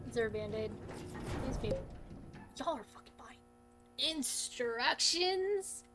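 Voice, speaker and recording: A slightly hoarse woman streams a game and chats with her viewers, her voice sitting on the high side. She talks slowly and loosely, punctuated by excited swearing. Faint game music plays behind her. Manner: swears a lot, excitable outbursts, talks to chat. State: a bit hoarse. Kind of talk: live gaming stream commentary.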